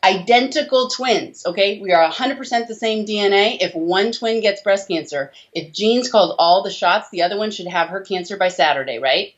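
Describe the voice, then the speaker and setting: A woman's voice: mid-range voice, moderate pace, few pauses. A middle-aged woman explaining things as an interviewed doctor, emphatic and fond of rhetorical questions. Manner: emphatic, rhetorical questions with tag checks like "okay?" and "right?". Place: small indoor room. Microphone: video call, computer at desk distance. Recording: dull lo-fi video call audio.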